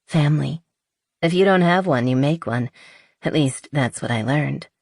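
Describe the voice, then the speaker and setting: A woman's voice: low voice, normal speed, creaky, darkish tone, dry recording, clean studio sound. A woman voicing a playful, chatty, impulsive young woman who is a little tipsy, in a romance audiobook. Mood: warm, candid.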